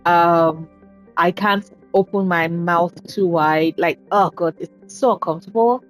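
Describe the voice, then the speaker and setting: A woman casually commentating her own game playthrough, chatty and self-deprecating, speaking low and soft because talking hurts right now. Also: measured pace, soft background music. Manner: chatty, self-deprecating, swears at setbacks in play. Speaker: gaming channel host. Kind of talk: casual let's-play commentary.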